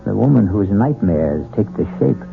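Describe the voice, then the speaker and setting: Low, gravelly, suspenseful radio host. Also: male voice, animated, moderate pace, phone-quality sound. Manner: dramatic, portentous. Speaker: host and narrator. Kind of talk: theatrical, suspenseful 1970s radio narration. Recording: thin old radio broadcast. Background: prominent music.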